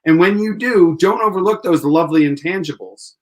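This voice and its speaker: A man's voice: high-pitched, a little fast, close to an everyday voice. An actor playing an idealistic, earnest lawyer in a 1940s-style radio play, pleading his case. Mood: earnest, hurt.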